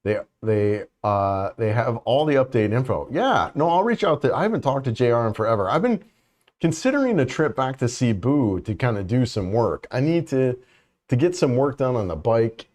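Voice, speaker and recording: A man in middle age talks loosely on a live stream. He restarts sentences often, fills gaps with "you know" and "right," and keeps an unhurried, easygoing tone. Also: medium pitch, sing-song, a little mellow. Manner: rambling, many restarts and fillers, easygoing. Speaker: vlogger hosting a live chat. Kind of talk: casual live-stream chat reading comments.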